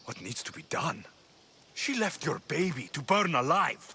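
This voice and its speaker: A man's voice: fairly high pitch, sing-song, unhurried, pushed and bright, long reverb tail, a little hiss or hum, full character voice. A man playing a fierce, vengeful mercenary hero with an intense, accusing delivery in a video game cutscene. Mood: indignant. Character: fierce, vengeful mercenary hero seeking his lost family. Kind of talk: dramatic game cutscene acting.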